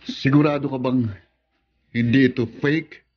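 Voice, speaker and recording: Young adult son acted by a man, Filipino accent, mid pitch, bitter, proud, curt, accusing, radio drama. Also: steady tone, a little slow, dull audio, natural voice. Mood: cold, defiant.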